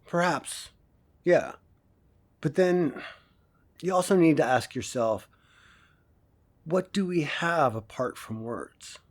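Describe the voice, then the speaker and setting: An actor playing a philosophical male professor lecturing a class in an audio drama, deliberate and rhetorical, with emphatic pauses. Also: fairly high pitch, lively intonation. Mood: musing.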